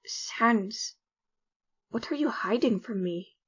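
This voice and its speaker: An actor voicing a theatrical, emphatic skeleton monster in an animated comic dub. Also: mid-range voice, slow, breathy edge, heavily acted. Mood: suspicious. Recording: muffled audio.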